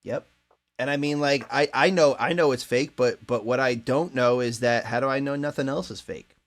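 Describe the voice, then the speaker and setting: A man's voice: medium pitch, moderate pace. A man in his late twenties hosting a casual podcast, musing in a laid-back, profane way. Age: late 20s. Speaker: podcast host. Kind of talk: casual chat.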